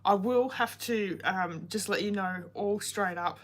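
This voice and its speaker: A woman's voice: mid-range voice, measured pace. A woman, hosting a casual card-making livestream, chatty and greeting viewers by name while coming down with a cold and a sore throat.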